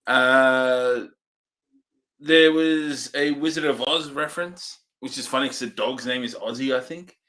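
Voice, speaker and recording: A man's voice: medium pitch, unhurried, sharp tone. A man co-hosting a casual movie review, listing points in an easygoing way with frequent "um" and "uh". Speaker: co-host.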